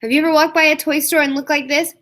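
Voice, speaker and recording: A woman reading a story aloud to young children like a teacher, expressive, with drawn-out emphasis. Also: high-pitched. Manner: expressive, asks listeners questions, drawn-out emphasis.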